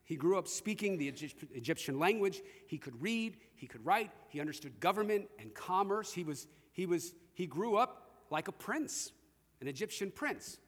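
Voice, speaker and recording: A man's voice: high-pitched, expressive, moderate pace, slightly rough. A man preaching a sermon in a conversational storytelling way, addressing his listeners directly. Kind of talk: sermon with storytelling and scripture reading. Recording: long hall reverb.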